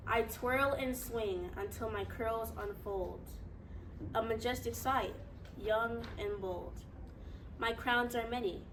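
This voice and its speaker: Woman reciting proud spoken-word poetry. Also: fairly high pitch. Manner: proud, rhythmic, declamatory delivery. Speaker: poet. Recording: distant mic with faint noise.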